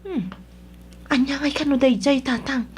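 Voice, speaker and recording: A woman with an Ilocano Filipino accent and a husky, breathy voice acting a concerned, curious daughter in an audio drama. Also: sits high, steady tone, unhurried, a little mellow, slight background noise. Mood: curious, pitying. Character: concerned, curious neighbour, child of the household.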